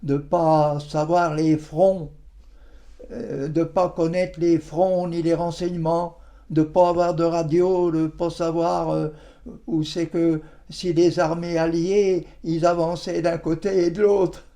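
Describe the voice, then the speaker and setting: An elderly man in his nineties gives testimony about his memories at a very unhurried pace. His voice is gravelly and mellow, and he speaks haltingly in everyday words, circling back to repeat phrases. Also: a little high, little pitch movement. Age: 90s. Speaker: interviewee, war witness. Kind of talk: reminiscing testimony in interview.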